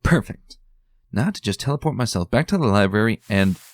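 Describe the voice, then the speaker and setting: A man voicing a young female unicorn pony scholar, earnest and anxious, in a raised, high voice. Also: lively intonation, a little fast, no echo. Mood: eager. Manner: high bright calling voice, earnest.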